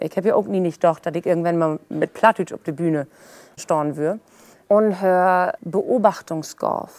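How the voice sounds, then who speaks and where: A woman's voice: mid-range voice, darkish tone, no room sound. A woman entertainer with a Northern German accent, speaking conversationally in an interview. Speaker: musician and entertainer.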